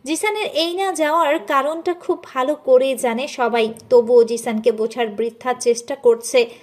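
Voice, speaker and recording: A woman narrator with a high voice. She delivers scripted storytelling, carrying the tale between the acted dialogue. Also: steady tone, moderate pace.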